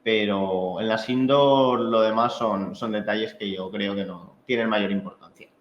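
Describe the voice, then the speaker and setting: A man's voice: lowish voice, fairly even intonation, slight background noise. Spanish man training in an echoey room. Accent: Spanish from Spain. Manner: halting, conversational explanations with frequent check-in tags. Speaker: technical trainer. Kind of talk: informal technical training presentation. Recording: echoey room, dull muffled sound.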